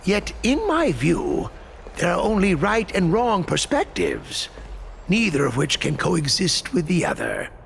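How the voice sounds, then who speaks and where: Dogmatic debater: higher-pitched creaky voice, hugely varied, disapproving emphasis, game dialogue. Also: male voice, huge pitch swings, measured pace, a bit bright, a little hiss or hum, exaggerated voice. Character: dogmatic, indignant male scholar. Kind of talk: video game voice acting.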